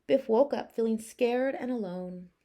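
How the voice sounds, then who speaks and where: A woman teacher narrating a children's storybook aloud with expressive, deliberate phrasing. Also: fairly high pitch.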